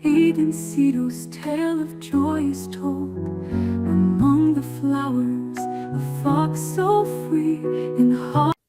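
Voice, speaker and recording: A woman singing a gentle lullaby. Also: high voice, slow. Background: tender music.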